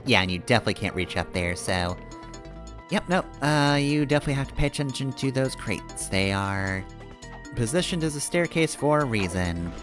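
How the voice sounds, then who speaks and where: A man's voice: medium pitch, animated, moderate pace. A man giving casual, rambling live commentary while he plays a video game, thinking aloud as he goes. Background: video game music.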